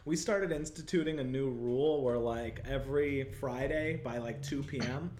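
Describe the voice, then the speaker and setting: A man with a Chicago accent hosts a live online show in a loose, upbeat chatter. He leans on fillers and rambles happily while greeting viewers. His voice sits at an ordinary middle pitch. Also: moderate pace, darkish tone, slight background noise. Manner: chatty, upbeat, lots of "like" and "totally". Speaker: livestream show host. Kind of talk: casual live interview chat.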